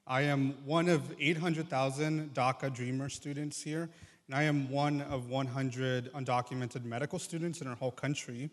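Male guest speaker, 20s, Triqui first language, medium pitch, sincere hesitant testimony in a reverberant hall. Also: fairly even intonation. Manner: halting, earnest. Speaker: guest speaker, medical student. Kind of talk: personal testimony to congregation. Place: large church room, live address.

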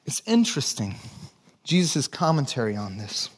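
A man with a Texan accent preaching a conversational, animated sermon, dropping to hushed low lines and jumping into lively high asides. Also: moderate pace. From Texas. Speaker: pastor. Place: church sanctuary.

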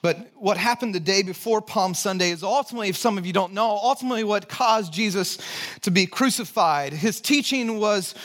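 A man with a Texan accent preaching a conversational, animated sermon, dropping to hushed low lines and jumping into lively high asides. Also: fairly quick. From Texas. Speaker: pastor. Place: church sanctuary.

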